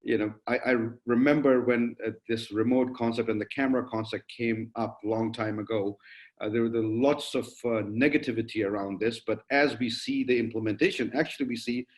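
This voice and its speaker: Male panel moderator with halting delivery. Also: mid-range voice, steady tone. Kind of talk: moderated panel discussion, questioning.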